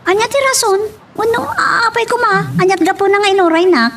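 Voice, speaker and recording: A woman's voice: very high voice, a little fast, a bit bright, a little hiss or hum. A woman playing a headstrong young woman in love in an audio love drama, recorded at a distance. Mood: defensive. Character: headstrong young woman in love, defending her romance to her mother. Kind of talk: Ilocano radio-style love drama acting.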